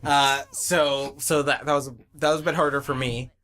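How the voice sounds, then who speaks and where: Bright-voiced young man, giggly and chatty. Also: medium pitch, little pitch movement, normal speed. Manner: giggly, often laughing through words. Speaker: web parody series creator on a commentary track. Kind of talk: casual chat commentary.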